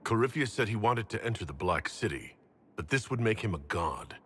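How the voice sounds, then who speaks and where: A man's voice: fairly low pitch, sing-song, brisk, a bit bright, breathy edge, exaggerated voice. An actor playing a resolute male leader of a fantasy resistance in a video game cutscene. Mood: grave, questioning.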